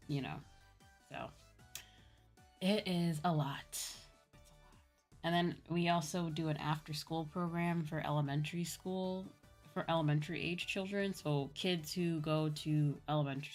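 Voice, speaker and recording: Low-pitched woman chatting casually on stream. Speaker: streamer, VTuber host chatting with viewers. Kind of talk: casual livestream chat. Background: background music.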